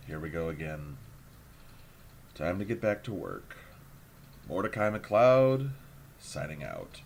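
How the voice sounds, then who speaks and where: A man playing a weary, paranoid courier who records a secret confession in an audio drama, speaking hesitantly and dramatically, with foreboding pauses. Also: extremely low pitch, very animated, vocal fry, slight background noise, heavily acted. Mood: weary, dread.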